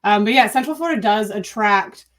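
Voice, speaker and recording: Female podcast guest, thirties, medium pitch, conversational, speaking over a video call. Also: fairly quick. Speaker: interview guest, marketing agency owner. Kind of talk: casual interview chat. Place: room at home, on a video call.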